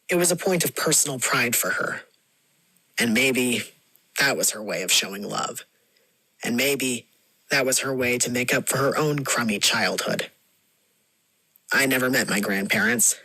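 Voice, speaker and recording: Man as a bright-voiced, wistful storyteller. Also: medium pitch, dry recording, low-quality recording. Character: reflective grown storyteller recalling a lonely childhood. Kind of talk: scripted first-person narrative monologue.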